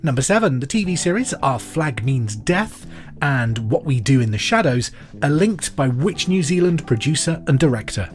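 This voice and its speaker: Guy hosting a trivia podcast, really up and down delivery, clear deliberate reading, bit of guitar music behind. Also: a little high, big pitch swings. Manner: repeats questions clearly and deliberately. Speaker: podcast quiz host. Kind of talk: pub quiz question reading, scripted presenting.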